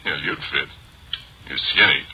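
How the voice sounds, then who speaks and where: Deep, forceful, folksy oil-rig roughneck. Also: male voice, moderate pace, full character voice. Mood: easygoing, folksy. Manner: folksy, ungrammatical working-man speech. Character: easygoing, plain-spoken male oil-rig worker who loves his food. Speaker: radio drama character and narrator. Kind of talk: 1940s radio acting. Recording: slightly noisy old 1940s radio recording.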